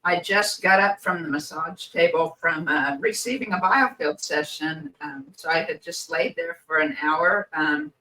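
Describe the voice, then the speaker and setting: A woman co-hosting a podcast, speaking slowly and reflectively with many pauses, her voice a little hoarse and croaky. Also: sits low, bright, forceful tone, far from the mic, low-quality recording. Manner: hesitant, many pauses and fillers. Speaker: podcast co-host. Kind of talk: slow reflective podcast chat.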